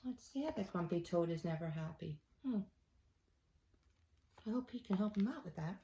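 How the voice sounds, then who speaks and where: A woman teacher reading a storybook aloud to children, expressively, with quiet asides. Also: fairly low pitch, animated, normal speed, slight background noise. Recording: echoey room.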